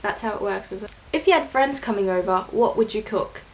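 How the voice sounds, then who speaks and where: A woman's voice: medium pitch, lively intonation, fairly quick, a little mellow. A young woman with an Australian accent, chatting casually and rambling through questions for her vlog, with self-deprecating jokes. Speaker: vlogger. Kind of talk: casual tag video answering questions.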